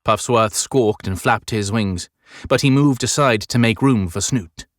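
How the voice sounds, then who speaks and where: A man's voice: fairly low pitch, measured pace, dry recording, studio quality. A man reading dramatic scripted narration as an audiobook narrator. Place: dry recording studio.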